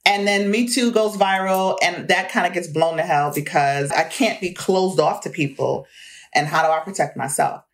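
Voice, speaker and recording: A woman in her forties speaks in a fairly low voice as a guest in an interview. She talks in a candid, reflective way and laughs easily between earnest points. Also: normal speed. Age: 40s.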